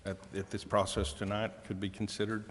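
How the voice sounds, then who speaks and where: A man serving as a council member, raising questions off the cuff in a halting, tentative way. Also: fairly low pitch, little pitch movement, a little hiss or hum. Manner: halting, tentative phrasing of concerns. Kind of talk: unscripted questioning in a public meeting. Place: indoor council chamber. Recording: reverberant council chamber.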